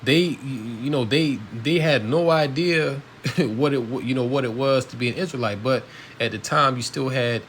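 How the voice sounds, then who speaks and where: Guy preaching loose and chatty, says man a lot, middle pitch, soft ac hum behind. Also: normal speed. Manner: conversational, peppered with "man" and "you know". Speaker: religious teacher. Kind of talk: informal preaching with scripture reading.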